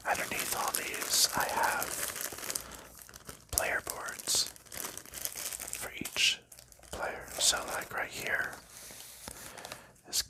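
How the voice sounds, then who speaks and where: A man giving a whispered ASMR walkthrough of a board game, explaining rules and components in a soft, unhurried voice. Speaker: ASMR creator.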